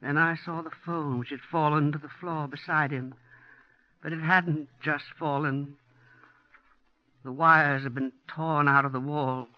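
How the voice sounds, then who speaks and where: A woman's voice: deep voice, steady tone, a little slow. An actress playing a genteel, motherly middle-aged woman who narrates her own ordeal in a 1940s radio drama. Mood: hushed recollection. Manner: genteel, motherly, growing frantic. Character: kindly widowed woman, mother of two servicemen, terrified. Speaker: narrator and lead character. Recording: muffled 1940s radio broadcast.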